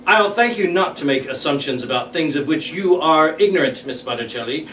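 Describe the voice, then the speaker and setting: A man playing a pompous, prim male erotica collector at a table read, speaking in a halting, formal, haughty way. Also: a little high, animated, bright, forceful tone. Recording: roomy, distant mic, faint noise.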